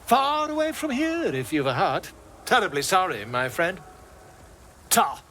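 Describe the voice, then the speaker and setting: A man playing a flippant video game character, theatrical and insincerely polite in a drawn-out way. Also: high voice, big pitch swings, bright, forceful tone, slight background noise, heavily acted. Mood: mocking.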